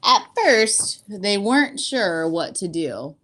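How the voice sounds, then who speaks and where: A woman reading a storybook aloud to children as a teacher, with an expressive, deliberate storytelling delivery. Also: medium pitch, a little hiss or hum.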